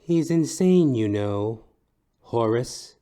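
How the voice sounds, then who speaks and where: A man's voice: mid-range voice, lively intonation, slow pace, dark tone. A man playing a bitter, haughty middle-aged wealthy wife with pompous, theatrical old-fashioned diction in a noir audio comedy. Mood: wistful, resigned.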